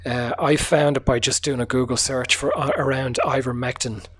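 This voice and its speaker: A sick man hosting a casual solo podcast, speaking quietly with a hoarse, tired voice, swearing often and using many fillers. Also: mid-range voice, flat delivery, moderate pace. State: sick, low energy, voice hoarse. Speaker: podcast host.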